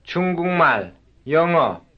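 Slow, lively-pitched man calling drill cues. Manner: clipped single-word cues, unhurried. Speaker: drill instructor giving cues. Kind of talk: recorded language-lesson cue prompts. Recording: dull lo-fi old lesson tape.

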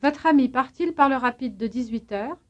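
A woman acting as a language instructor, reading out scripted drill questions in a clear, measured way. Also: sits high. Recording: dull lo-fi language tape.

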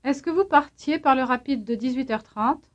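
A woman acting as a language instructor, reading out scripted drill questions in a clear, measured way. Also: sits high. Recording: dull lo-fi language tape.

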